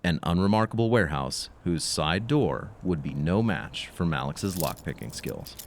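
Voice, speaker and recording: A man's voice: deep voice, lively intonation, normal speed, a little hiss or hum. A man narrating a scripted audio story in a dramatic, slightly wry manner.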